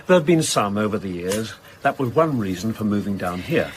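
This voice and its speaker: Guarded, wry British novelist with swooping intonation played by an actor. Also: male voice, fairly low pitch, lively intonation, brisk, a little hiss or hum, close to an everyday voice. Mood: wry, defensive. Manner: articulate, guarded, pedantic. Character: evasive, reclusive male crime novelist, precise and wry. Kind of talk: naturalistic radio drama acting.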